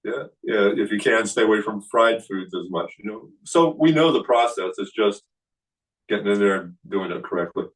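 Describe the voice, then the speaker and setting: Older man, low voice, kung fu teacher, relaxed and halting, recorded from across a room. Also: far from the mic, rough recording. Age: 60s. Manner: relaxed explaining with many fillers. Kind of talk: seminar talk. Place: indoor studio room.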